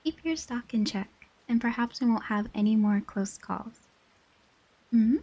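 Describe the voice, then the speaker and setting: A woman's voice: a little high, measured pace, dark tone, breathy edge, lo-fi sound. A woman voicing a stern, haughty inhuman guardian in a scripted dramatic monologue, formal and imperious. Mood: cool, dry.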